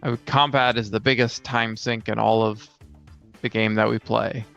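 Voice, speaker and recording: A man's voice: lowish voice, little pitch movement, moderate pace, vocal fry, a bit bright, muffled recording, faint music behind. A man co-hosting a casual talk about tabletop games as a game master, thinking aloud as he goes. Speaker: podcast co-host and game master.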